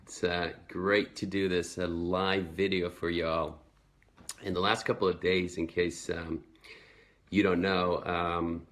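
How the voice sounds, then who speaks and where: A Swedish man in his twenties with an American accent, talking earnestly and motivationally to followers in a live video, with many fillers. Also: low voice. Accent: American, learned in the United States. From Sweden. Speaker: network marketing entrepreneur.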